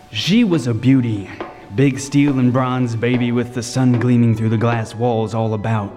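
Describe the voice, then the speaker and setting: A man playing a lighthouse keeper, narrating a radio drama in a brooding, lyrical, deliberate way with dramatic emphasis. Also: medium pitch, close to an everyday voice. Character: world-weary, vivid storytelling lighthouse keeper, male. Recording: long reverb, music behind.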